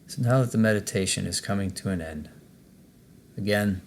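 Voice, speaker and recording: A man narrating a guided meditation as its host, in a measured way, pausing between short phrases. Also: lowish voice, slight background noise.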